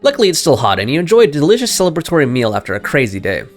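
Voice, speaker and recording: Woman streamer: very low voice, lively intonation, casual joking slangy game commentary, faint music behind. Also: rapid-fire.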